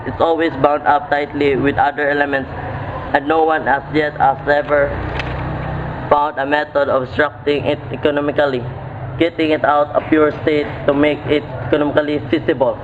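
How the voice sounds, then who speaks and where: Man playing an earnest professor, flat, tinny sound. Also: fairly high pitch, natural voice. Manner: deliberate, emphatic, lecturing. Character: earnest male chemistry professor, wistful and serious. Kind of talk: amateur radio play acting. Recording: tinny phone-like audio, noisy background.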